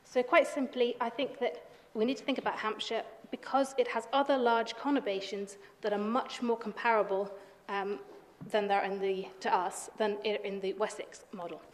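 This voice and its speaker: A woman councillor argues her position in a measured, steady way, with a few restarts. Her voice sits at a middle pitch. The hall adds a long reverb. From England. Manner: measured, argumentative, some restarts. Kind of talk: council debate speech. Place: council chamber.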